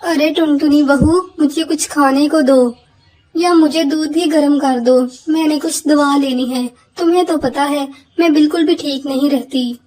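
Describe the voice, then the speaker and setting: A woman's voice: sits high, steady tone, unhurried, darkish tone, low-quality recording. A woman voicing a demanding, complaining old mother-in-law bird in a Hindi cartoon. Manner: scolding, complaining.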